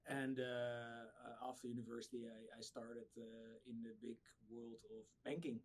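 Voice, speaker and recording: A middle-aged man from the Netherlands with a Dutch accent, answering interview questions conversationally and a little haltingly. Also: medium pitch, little pitch movement. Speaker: interview guest, startup founder.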